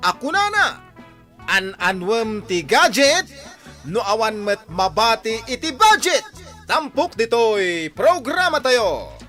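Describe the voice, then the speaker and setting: A woman's voice: on the high side, pushed and bright. A woman announcer reading a scripted introduction and credits for a radio drama.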